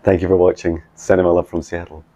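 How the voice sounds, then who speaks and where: A man, a psychic mentor, giving soft meditation messages and rambling video diary updates full of ums. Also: deep voice, level tone, dull audio. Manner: soft, slow affirmations; chatty with many ums in updates.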